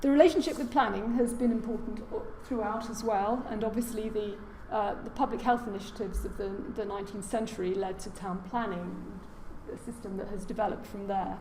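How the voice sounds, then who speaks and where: A soft-spoken woman giving a formal lecture as a guest speaker, with frequent hesitations and fillers. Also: medium pitch, steady tone, fairly quick, hardly any pauses. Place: large lecture room. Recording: echoey lecture hall, faint noise.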